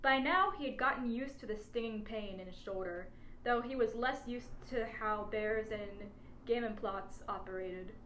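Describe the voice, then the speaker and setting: A woman's voice: fairly high pitch, a bit bright. A woman reading out a humorous adventure story as its narrator, in scripted prose. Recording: echoey space with faint background noise.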